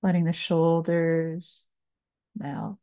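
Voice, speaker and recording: A woman meditation teacher guiding a body scan, speaking calmly and soothingly in short phrases with long pauses. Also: low-pitched, dry recording. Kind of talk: slow guided meditation, body scan narration.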